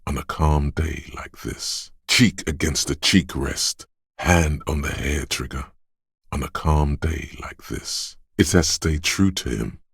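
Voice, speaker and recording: An extremely low, rasping male voice serves as the solemn inner voice of a fighter's instinct in a video game. The delivery is measured and deliberate, with dramatic weight on each phrase. The recording is dry and close. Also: no room sound, exaggerated voice. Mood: reverent, measured. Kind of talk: scripted game narration, inner voice.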